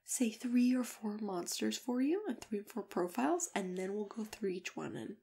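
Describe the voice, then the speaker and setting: A woman speaking softly and unhurriedly in an ASMR matchmaker roleplay, gently drifting in and out of whispers. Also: medium pitch, moderate pace, vocal fry, slight background noise. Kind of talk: ASMR roleplay, soft-spoken chat with whispering. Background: computer keyboard typing and clicking.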